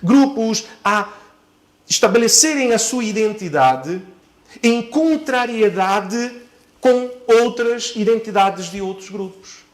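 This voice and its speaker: Male preacher, high-pitched voice, deliberate and emphatic sermon delivery with repeated phrases. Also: expressive. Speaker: pastor preaching. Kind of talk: sermon to a church congregation.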